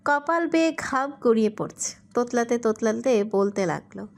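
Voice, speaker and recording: A woman's voice: fairly high pitch, animated, normal speed, gravelly. A woman reading scripted narration for a romantic audio story.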